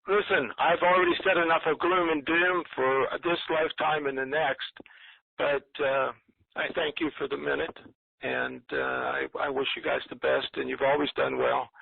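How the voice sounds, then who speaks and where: A man calling in to a talk line, speaking in a hesitant, earnest, gloomy and rambling way with a gravelly, husky voice. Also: a little high, level tone, moderate pace, no room sound. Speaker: caller. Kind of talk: rambling call-in commentary. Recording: narrow muffled phone-line sound.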